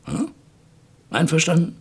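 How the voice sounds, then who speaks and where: An actor playing a shrewd police commissioner in a German radio crime play, deliberate and musing, then pointed in his questions. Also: a little high, lively intonation, vocal fry, husky, exaggerated voice. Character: shrewd male French police commissioner, boss of the investigation.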